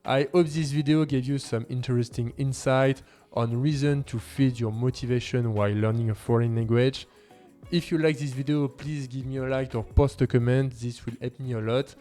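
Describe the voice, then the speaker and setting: A man's voice: medium pitch, fairly even intonation, normal speed, dark tone. Male vlog host, native French speaker with French accent, mid-range voice, casual non-native phrasing, light music underneath. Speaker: language-learning video host. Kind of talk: casual talk to camera.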